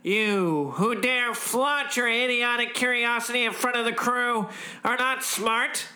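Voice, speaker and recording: A man's voice: very high-pitched, measured pace, pushed and bright, voice pushed up, heavily acted. A man voicing a vain, bullying alien spaceship commander with an imperious, declamatory manner in a comic story.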